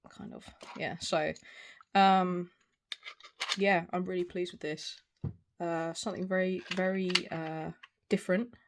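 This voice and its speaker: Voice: medium pitch, little pitch movement, a little slow, long pauses. A person in their forties giving a casual, unscripted product review in a hesitant, rambling way. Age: 40s. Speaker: video reviewer. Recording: clear background noise, small clicks.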